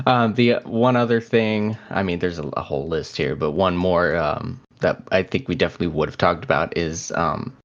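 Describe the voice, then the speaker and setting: A man's voice: deep voice, moderate pace, hardly any pauses. A man co-hosting a podcast in a casual, chatty style. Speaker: podcast co-host. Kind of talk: casual chat. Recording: dull, lo-fi audio.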